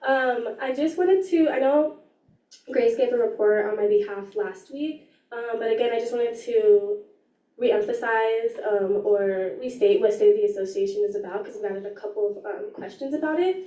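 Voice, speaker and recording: A young woman speaks with a slightly raspy voice, explaining an event earnestly and filling pauses with ums. Her tone is mellow and a bit high. She sounds distant from the mic in an echoey room. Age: young adult. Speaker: student government chief of staff. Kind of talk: meeting announcement.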